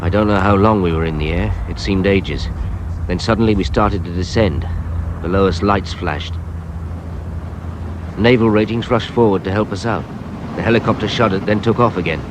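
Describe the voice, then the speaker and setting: An actor in a radio drama playing a weary police inspector who narrates his case in a measured, reflective way. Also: low-pitched, close to an everyday voice. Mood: tense, measured. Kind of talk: scripted first-person radio drama narration. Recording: noisy radio drama recording, effects behind.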